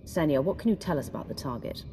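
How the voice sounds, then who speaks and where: A woman playing a commanding, wary Sith warrior in a game, authoritative and measured. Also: sits low, expressive, warm tone, soft background music. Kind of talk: scripted game cutscene dialogue.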